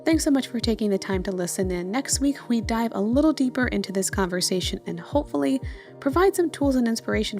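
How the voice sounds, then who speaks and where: A woman's voice: medium pitch, darkish tone. A woman hosting a podcast, talking in a reflective, thoughtful way and phrasing her ideas tentatively. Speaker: podcast host.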